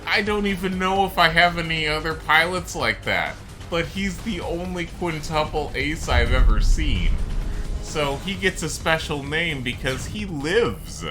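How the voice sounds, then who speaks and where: A man's voice: mid-range voice, bright, forceful tone. A man giving casual, rambling, explanatory commentary on a video game. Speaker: gaming video host.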